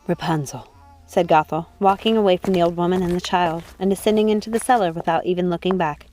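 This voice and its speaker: A woman's voice: on the low side, fairly even intonation, fast talker, dark tone, slight background noise. A woman reading scripted storybook narration as an audiobook narrator.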